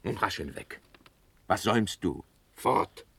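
An actor playing a practical, impatient officer, wry and mocking, in a classical verse radio drama. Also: male voice, fairly low pitch, animated, creaky, a bit bright, breathy edge, dry recording, exaggerated voice. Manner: wry, exasperated, deliberate stage delivery.

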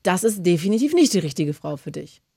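A woman's voice: on the low side, big pitch swings, slightly rough, dry recording, clean studio sound. A woman hosting a radio call-in show, chatting casually and giving callers advice. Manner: chatty, warm-hearted advice, fast talking. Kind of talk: casual radio phone-in chat.

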